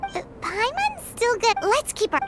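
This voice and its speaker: A woman voicing a tiny excitable fairy girl in a video game, squeaky, childlike and easily indignant. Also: measured pace, slight background noise, full character voice. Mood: uneasy. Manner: childlike, exclamatory, speaks of herself by name. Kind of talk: scripted game dialogue. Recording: loud game music behind the voice.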